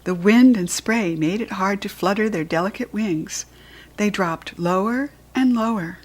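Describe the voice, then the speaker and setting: A woman with an American accent reading a children's book aloud in a clear, expressive voice. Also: mid-range voice, unhurried.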